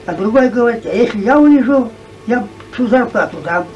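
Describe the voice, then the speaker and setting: High-voiced male storyteller, distant archive recording. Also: lively intonation, fairly quick, muffled recording. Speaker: folk storyteller. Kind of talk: oral folk tale telling. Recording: distant, noisy 1990s archive video.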